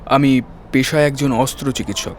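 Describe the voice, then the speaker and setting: An actor playing a polite, earnest young surgeon giving an account in a detective audio drama. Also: male voice, mid-range voice, steady tone, normal speed, a little hiss or hum, natural voice. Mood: earnest, confiding.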